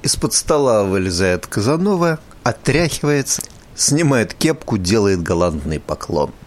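Low voiced guy reading stage directions for a radio drama. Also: big pitch swings, moderate pace. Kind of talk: scripted audio play narration.

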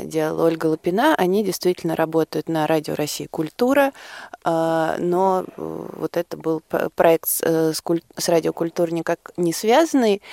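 Woman producer being interviewed, low voice with fry, pauses a lot, dry close sound. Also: dark tone, dry recording. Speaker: theater producer, interview guest. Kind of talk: conversational interview. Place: radio studio.

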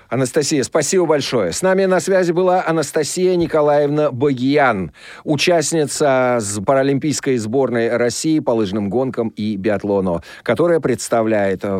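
A male radio correspondent interviews in a conversational way. His mid-range voice swings widely in pitch and is recorded dry, with little room sound. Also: darkish tone, dry recording.

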